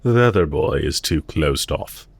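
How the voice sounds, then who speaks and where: A man playing a calm, courteous villain leader in an audio roleplay, measured, formal and politely condescending, with quiet menace and amusement. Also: extremely low pitch, vocal fry, slightly rough, no room sound, exaggerated voice. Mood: amused, composed. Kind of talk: audio roleplay, intimate scripted acting addressed to listener.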